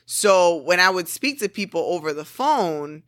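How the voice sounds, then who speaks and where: Forward, bright-toned woman podcast co-host. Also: fairly low pitch, lively intonation, a little slow, no room sound, studio quality. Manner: forward, intense, self-described aggressive tone. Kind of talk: casual podcast conversation.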